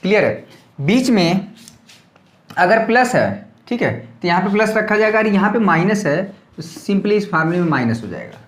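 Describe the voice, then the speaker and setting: A man's voice: high voice, big pitch swings, moderate pace, roomy sound. A man teaching a maths lesson at a board with a slightly sore throat, talking casually and often checking that students follow. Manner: chatty, repeats checks like "clear?" to students.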